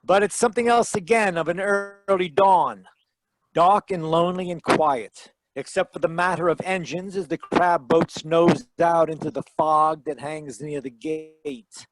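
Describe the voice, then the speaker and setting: An actor playing a wry, hardboiled private detective in an old-time radio drama, narrating in dramatic noir fashion. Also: male voice, a little high, fairly even intonation, unhurried, dry recording, natural voice, poor audio. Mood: hardboiled narration.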